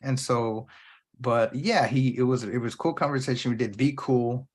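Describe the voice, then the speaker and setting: A man's voice: mid-range voice, moderate pace. A man hosting his own movie podcast alone, talking off the cuff in a loose, rambling way and swearing freely. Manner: casual, unscripted, profane, jumps between thoughts. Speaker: podcast host. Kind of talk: rambling solo podcast talk.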